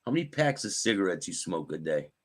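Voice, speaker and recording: Raspy guy on a live stream reading news and talking to chat, lowish voice. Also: sing-song, fast. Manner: reads news aloud, then greets viewers by name. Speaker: host.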